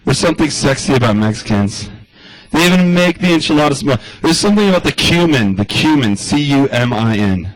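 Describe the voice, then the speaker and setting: A man's voice: medium pitch, very animated, brisk, no echo, poor audio. A man doing a rambling stand-up comedy monologue in the style of a radio address, loose and chatty, full of asides.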